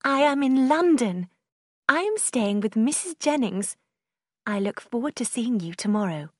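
A woman's voice: on the high side, expressive, measured pace, a little mellow, no room sound, studio quality, pitched above the performer's own voice. A woman voicing a passionate, heartbroken young woman in an audiobook, with a tearful, pleading manner. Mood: eager, yearning. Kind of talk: audiobook character acting in a simplified classic novel.

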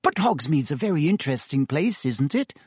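A man's voice: on the high side, big pitch swings, brisk, a little mellow, slightly rough, no room sound, studio quality. A man voicing an earnest, brainy teenage girl who speaks eagerly and sharply in an audiobook. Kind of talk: audiobook character voice.

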